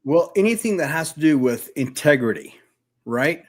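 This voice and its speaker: A man's voice: on the high side, lively intonation, moderate pace. A man whose first language is Spanish, a former police officer chatting as a guest on a live stream in a joking, laid-back way. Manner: joking, laid-back, says "dude" often. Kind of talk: casual live-stream chat.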